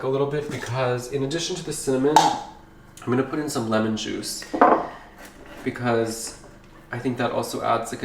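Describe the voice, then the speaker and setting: A man chatting casually while baking at home, rambling and easily excited. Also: medium pitch, slight background noise. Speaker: vlogger. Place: home kitchen. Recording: echoey kitchen, slightly distant mic.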